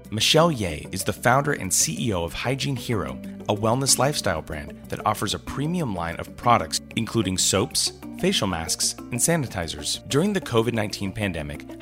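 A low-voiced man hosts a podcast and reads his introduction in a smooth, rehearsed way. Soft music plays faintly beneath his voice. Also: big pitch swings, moderate pace, hardly any pauses. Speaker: podcast host. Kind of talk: scripted intro and interview.

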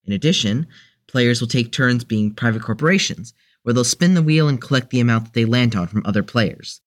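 A man playing a mild-mannered, unsure young newcomer with a halting delivery in an audio drama. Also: mid-range voice, expressive, close to an everyday voice. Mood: explaining.

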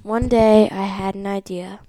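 Creaky-voiced female picture book reader. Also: medium pitch, fairly even intonation, unhurried, dark tone, a little hiss or hum. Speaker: read-along host and reader. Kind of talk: reading a picture book aloud.

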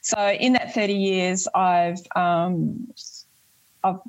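A woman's voice: fairly low pitch, fairly even intonation, slow, dry recording, rough recording. Chatty Australian woman, close dry sound. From northwest Tasmania, Australia. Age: late 40s. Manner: chatty, rambling, frequent "you know". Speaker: interview guest, Air Force member. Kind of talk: conversational interview, recounting career.

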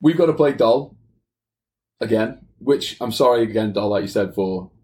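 A man's voice: lowish voice, lively intonation, moderate pace, warm tone. A man co-hosting a casual sports podcast, chipping in with short remarks. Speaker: podcast co-host.

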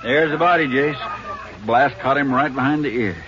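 A folksy small-town Texas sheriff, played by an actor, with a medium pitched voice and an easy drawl. The sound is muffled and hissy, like an old radio broadcast. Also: male voice, normal speed, natural voice. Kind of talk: 1950s radio drama acting. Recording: dull old radio recording with hiss.

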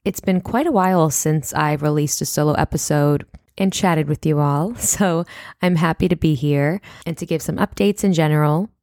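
A woman's voice: deep voice, moderate pace, a little mellow, dry recording, studio quality. A young woman in her twenties hosting her own podcast, talking in a reflective, chatty way and sounding congested. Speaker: podcast host. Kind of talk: solo podcast talk.

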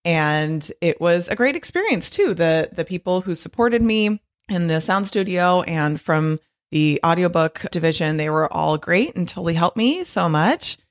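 A middle-aged woman hosting her podcast alone, her voice a little husky, talking in a chatty, rambling way with frequent laughs. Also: on the low side, animated, normal speed. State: slightly husky from long recording. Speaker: podcast host and author. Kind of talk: casual solo podcast monologue.

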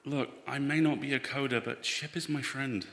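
A man playing an anxious, earnest spaceman in a live audio drama, halting and pleading as he speaks. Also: medium pitch, fairly even intonation, fairly bright tone, lightly acted. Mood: grieving, pleading. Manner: halting, repeats words, pleading. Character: anxious, earnest spaceman devoted to his ship's AI. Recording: echoey hall, live stage recording.

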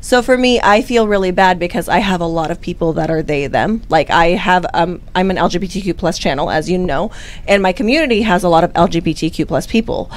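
A woman's voice: lowish voice, a little fast. A woman whose first language is Tagalog, a podcast guest talking candidly and earnestly with frequent fillers. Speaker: guest, streamer. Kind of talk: casual podcast chat.

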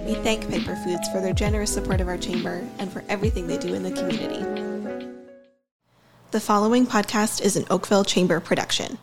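A woman hosting a business podcast, reading a polished scripted introduction and then asking interview questions. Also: medium pitch. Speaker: podcast host.

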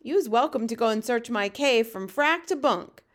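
A woman's voice: a little high, lively intonation, normal speed, lightly acted. A woman voicing a kindly, flustered male giant from a children's storybook, with folksy garbled grammar and made-up words. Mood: earnest, defensive.

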